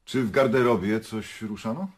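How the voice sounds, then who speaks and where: A man's voice: fairly low pitch, moderate pace, slight background noise, close to an everyday voice. An actor playing a curt, businesslike male prosecutor questioning witnesses formally in a radio drama.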